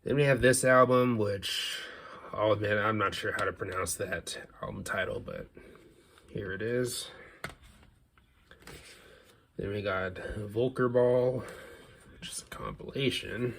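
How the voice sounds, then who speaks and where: A man with a fairly low voice talks casually as a video host, in an unscripted, offhand way that softens each opinion. There is some noticeable background noise in the recording. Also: lively intonation, a little slow, vocal fry, pauses often. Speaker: video host showing a music CD collection. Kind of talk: casual unscripted collection commentary.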